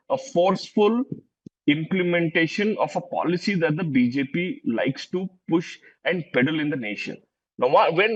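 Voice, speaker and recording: A man's voice: high-pitched, slightly rough, muffled recording. A man whose first language is Urdu, arguing emphatically as a panelist in a heated TV debate and repeating words as he presses his point.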